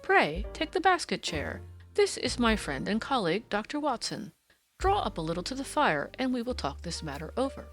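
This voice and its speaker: Storybook role: self-assured gentleman sleuth, suave, inquisitive, precise, expressive range performed by a female reader. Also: on the high side, moderate pace, faint music behind. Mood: courteous. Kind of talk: audiobook character dialogue.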